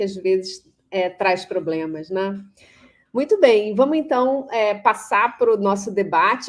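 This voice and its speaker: Reflective Brazilian woman moderating a panel. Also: mid-range voice. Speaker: moderator of an online course panel. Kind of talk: informal academic moderating.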